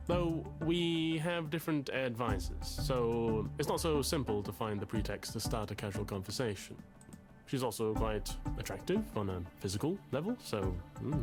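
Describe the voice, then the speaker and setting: A man's voice: medium pitch, natural voice. An actor playing a pompous, anxious, lovesick male literature student in a video game, speaking in a verbose, formal and hesitant way. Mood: smitten, self-conscious. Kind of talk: visual novel game voice acting.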